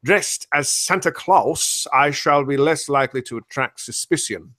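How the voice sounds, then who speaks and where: A man playing an excitable, self-assured gentleman detective in comic old-time radio style, theatrical and emphatic. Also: mid-range voice, unhurried, natural voice. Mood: intrigued, decisive.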